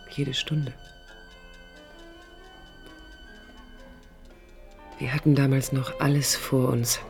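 A woman's voice: very deep voice, gravelly, a little mellow, exaggerated voice. An actress playing a proud, brooding woman architect in a radio play, speaking in an introspective, literary and bitter way. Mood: longing. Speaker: lead character and first-person narrator. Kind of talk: radio play acting, interior monologue and dialogue. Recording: studio radio drama with music behind.

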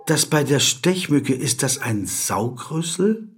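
A man's voice: medium pitch, lively intonation, slow, a little hiss or hum. A man acting a pedantic, brooding, awkward corporate lawyer in a German radio drama, fussy and dryly ironic in his digressions. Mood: fussy, digressive. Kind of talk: German radio drama, dialogue and inner monologue.

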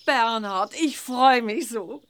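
A woman playing a kindly, reasonable witch mother in a children's audio drama, gentle and coaxing. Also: a little high, slow, clear, resonant tone. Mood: delighted, dreamy.

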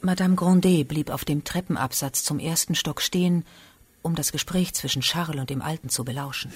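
A man's voice: fairly high pitch, brisk, husky. A man narrating a literary radio drama from a prepared script.

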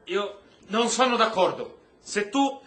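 Earnest naive prisoner, high and bright. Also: male voice, lively intonation, takes it slowly, exaggerated voice. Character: earnest, naive, devout Afghan male prisoner. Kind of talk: stage-style radio drama acting.

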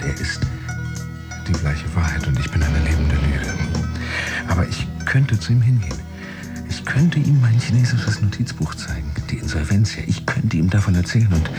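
An actor playing a brooding man who secretly pretends to have a job, in a measured, introspective inner monologue for a radio play. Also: a bass voice. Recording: music behind the voice. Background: music.

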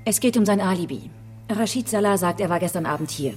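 An actress playing a brisk, impatient police detective in a naturalistic radio drama, direct and matter-of-fact. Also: female voice, medium pitch, a little mellow, soft background music, lightly acted.

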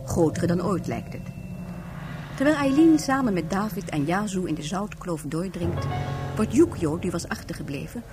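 A woman's voice: lowish voice, lively intonation, normal speed, music in the background. A woman giving a scripted narration for a science fiction radio play.

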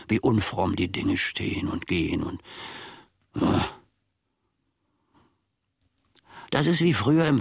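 An actor playing a grumbling, pedantic, world-weary manservant who philosophizes in a rambling, theatrical radio play monologue, with a gravelly, husky voice. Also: male voice, fairly low pitch, a bit bright, no room sound, studio quality, full character voice. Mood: sly, musing. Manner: rambling, theatrical, pseudo-learned, halting.

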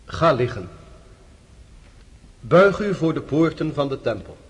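An actor in a radio drama playing a solemn, commanding master of a secret order, ceremonial and measured. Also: male voice, fairly high pitch, big pitch swings.

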